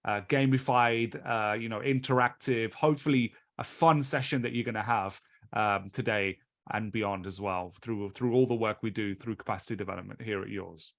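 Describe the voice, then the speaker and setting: A man's voice: on the low side, normal speed. A British man hosting and teaching a live online training session over a video call, speaking in a chatty, loose way that often restarts its phrases.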